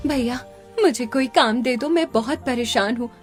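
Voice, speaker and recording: A woman voicing a poor, hardworking, devoted mother in an animated story, earnest and pleading. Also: on the high side, moderate pace, soft background music, natural voice.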